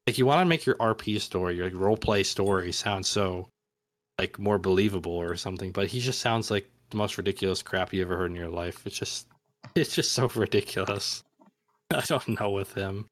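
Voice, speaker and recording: A man's voice: deep voice, brisk. A man chatting casually as a podcast guest, hesitant and self-deprecating. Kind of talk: casual interview chat.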